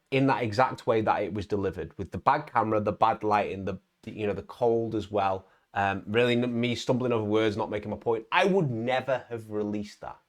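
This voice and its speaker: A young man giving a casual motivational talk to his viewers, emphatic, with frequent "right?" tags and stumbling restarts. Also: medium pitch. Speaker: vlogger on online business.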